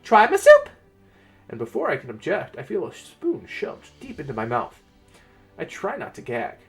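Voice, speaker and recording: A man's voice: medium pitch, huge pitch swings, moderate pace, creaky, soft background music. A man narrating a first-person fantasy story in a dramatic reading style.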